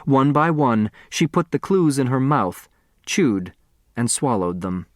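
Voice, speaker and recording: A man narrating a children's novel as an audiobook, expressive and deliberate in his storytelling. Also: medium pitch, dry recording, clean studio sound.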